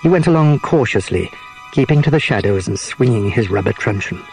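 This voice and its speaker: A man's voice: fairly low pitch, animated, fairly quick, a little mellow, soft background music. A man narrating a children's story as a scripted, dramatised audiobook.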